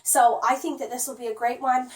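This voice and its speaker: A hoarse woman who has nearly lost her voice, chatting casually through a product review with lots of "um"s. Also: high-pitched, level tone, a little fast, far from the mic. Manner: chatty, rambling, frequent "um".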